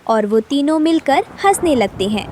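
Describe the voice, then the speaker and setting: A woman's voice: high-pitched, lively intonation, moderate pace, mellow, a little hiss or hum. A woman giving scripted narration for a children's cartoon story.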